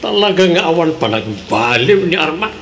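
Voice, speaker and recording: A man's voice: a little high, big pitch swings, moderate pace, fairly bright tone, faint music behind. An actor with an Ilocano accent playing a friendly, helpful male coworker in a radio drama. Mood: concerned. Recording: noisy radio drama recording.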